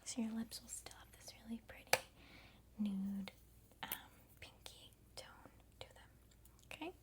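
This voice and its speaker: Whispering young woman doing ASMR role-play. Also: mid-range voice. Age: 20s. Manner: soft, unhurried, chatty and reassuring. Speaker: ASMR creator playing a friend doing the listener's makeup.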